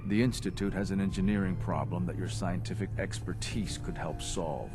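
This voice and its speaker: A man's voice: deep voice, moderate pace, a little mellow, soft background music. A man playing an earnest, reassuring institute operative in a video game, with a persuasive manner.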